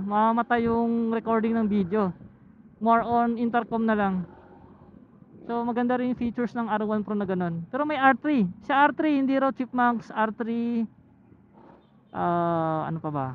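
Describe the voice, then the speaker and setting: A motovlogger with a Filipino accent chats casually and thinks aloud in short halting phrases, speaking through a helmet intercom while riding. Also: mid-range voice. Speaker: motovlogger reviewing camera gear. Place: outdoors, riding a motorcycle. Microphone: motorcycle helmet intercom headset. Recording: tinny helmet intercom mic, road noise.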